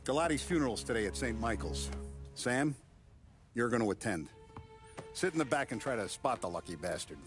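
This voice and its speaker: An actor playing a blunt, authoritative mob boss giving orders in a video game cutscene. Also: male voice, mid-range voice, big pitch swings, moderate pace, pauses often, lightly acted. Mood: stern. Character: commanding older male mob boss. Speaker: crime boss. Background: music score.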